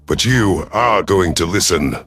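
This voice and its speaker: A man voicing a cold, calculating alien predator commander in a sci-fi audio story, imperious and menacing, with a rough husky voice. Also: sits low, expressive, a little slow, fairly bright tone, dry recording, full character voice. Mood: imperious, threatening. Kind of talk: dramatic first-person sci-fi audio story.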